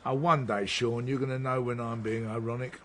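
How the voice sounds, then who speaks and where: A man's voice: mid-range voice, steady tone, brisk, slight background noise, close to an everyday voice. A man acting in a radio drama as a wry, needling police detective with an ironic, teasing delivery.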